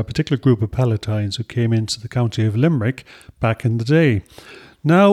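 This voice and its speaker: A man presenting a genealogy podcast, introducing the next segment in a relaxed way. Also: mid-range voice. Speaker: podcast host. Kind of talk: casual presenting.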